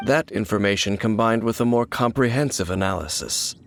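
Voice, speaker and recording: A man voicing a mysterious swordsman who speaks in a calm, measured, formal way. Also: fairly low pitch, vocal fry, a little hiss or hum.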